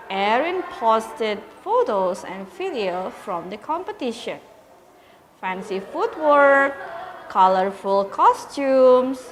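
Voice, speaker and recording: A woman with an Indonesian accent reading a children's story aloud with deliberate, dramatic emphasis, as host of a school program. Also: fairly high pitch, a bit bright, slight background noise, poor audio.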